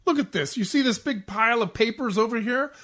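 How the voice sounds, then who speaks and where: A man's voice: extremely high pitch, a little fast, fairly bright tone. A man playing a grumpy, exasperated salesman husband in a 1940s-style radio comedy, grumbling in a curt, sarcastic way. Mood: weary complaining. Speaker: community theatre actor.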